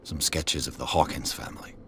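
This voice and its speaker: Casting: terse, jaded private eye, extremely low register, video game drama, faint noise behind. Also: male voice, steady tone, fairly quick. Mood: pensive, skeptical. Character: jaded male private detective, curt and world-weary.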